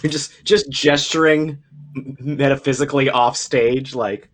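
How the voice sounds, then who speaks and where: A man's voice: medium pitch, steady tone, unhurried. A man chatting casually as a participant in a livestream table read. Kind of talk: casual chat.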